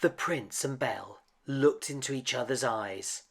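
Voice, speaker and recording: A man's voice: medium pitch, unhurried, a bit bright, breathy edge. A man reading a children's picture book aloud as a dramatic storyteller. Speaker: storybook reader.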